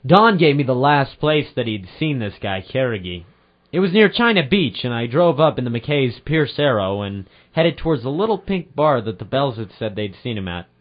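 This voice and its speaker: A man playing a wry, deadpan private eye narrating his case in 1940s radio noir style. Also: medium pitch, moderate pace, natural voice. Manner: sardonic, deadpan. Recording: dull lo-fi audio with loud music.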